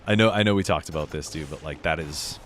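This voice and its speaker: A man casually commentating his game on a live stream, a little hoarse and sniffly from a cold, laid-back and chatty. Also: a bass voice, fast, a bit bright. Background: faint game music.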